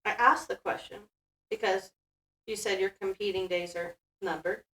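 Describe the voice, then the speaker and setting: A woman's voice: medium pitch, steady tone, moderate pace, fairly bright tone. Woman chatting in an echoey room. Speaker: powerlifter in podcast conversation. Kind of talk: casual interview chat. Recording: echoey room, distant from mic.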